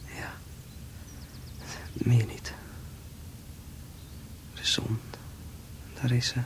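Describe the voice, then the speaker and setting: An actor playing a frail, tender young man recovering from illness, breathy and halting, in a radio drama. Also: medium pitch, monotone. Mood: drowsy, hesitant. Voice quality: breathy, often whispering. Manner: halting, slow, intimate. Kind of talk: Dutch radio drama acting.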